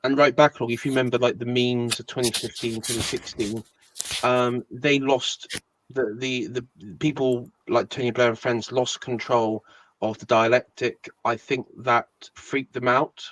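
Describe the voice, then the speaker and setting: A man's voice: mid-range voice, fairly bright tone, dry recording, poor audio. A man co-hosting a casual online political chat, rambling with many fillers like um and you know.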